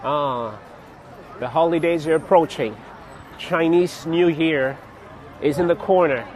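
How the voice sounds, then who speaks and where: A young man from Cameroon chatting casually to his vlog viewers outdoors on a city street, laughing often and pausing with fillers. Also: a little high. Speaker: vlogger. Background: faint street traffic.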